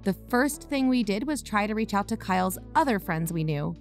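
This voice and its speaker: A woman's voice: medium pitch, animated, normal speed, soft background music, lightly acted. A woman playing an earnest young amateur investigator and podcaster, probing in a natural, matter-of-fact way. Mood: serious. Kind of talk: audio drama narration and natural phone dialogue.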